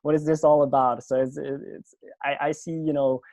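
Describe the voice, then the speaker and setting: A man hosting a podcast interview over a video call, speaking English as a second language, halting, with many fillers and restarts. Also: fairly high pitch, level tone, darkish tone, dry recording. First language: not English. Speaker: podcast host.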